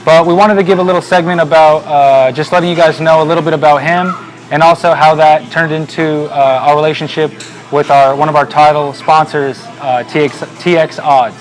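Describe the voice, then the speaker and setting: Man presenting, slightly high voice. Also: brisk, low-quality recording. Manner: relaxed, filler-heavy presenting. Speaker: team owner. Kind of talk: promotional sponsor segment.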